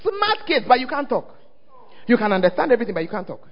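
A man with a Ghanaian English accent preaching a lively sermon as pastor, often calling out to the congregation to check that they are listening. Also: very high voice, fast talker. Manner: call-and-response, often asks the crowd "are you there?". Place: church hall. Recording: dull, echoing church hall recording.

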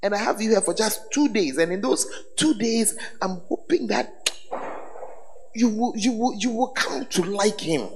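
A hoarse bishop preaches with a high, swinging voice, tossing questions at his congregation and teasing them. His words ring out with a long reverb of a hall over a faint background hum. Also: lively intonation, a little slow. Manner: call-and-response with congregation, teasing. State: hoarse, voice tired. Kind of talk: sermon. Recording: echoey hall with faint ambience.

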